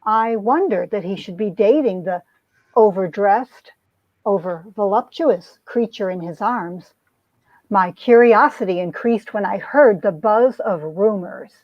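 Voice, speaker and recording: A woman's voice: medium pitch, unhurried, dry recording, muffled recording, lightly acted. A woman playing a lovestruck young society heroine who narrates her romance with earnest melodrama, in an amateur comic reading. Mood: reflective. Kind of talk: dramatic reading of a 1950s romance comic, first-person narration.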